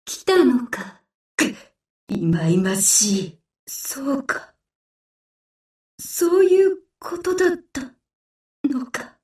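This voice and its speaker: A woman voicing a menacing, vengeful female sea demon in a Japanese game, with a drawn-out, otherworldly delivery through an echo effect. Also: sits high, sing-song, darkish tone, exaggerated voice. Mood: bitter, defiant. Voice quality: echoing, otherworldly. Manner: slow, drawn-out, threatening delivery. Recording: echoing, distant game voice clips.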